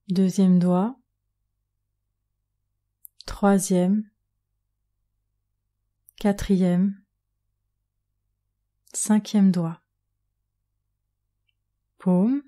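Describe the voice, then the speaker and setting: Slow, mellow woman guiding relaxation. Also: mid-range voice. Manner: calm, measured, instructing with long pauses. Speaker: yoga nidra instructor. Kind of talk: guided relaxation meditation narration.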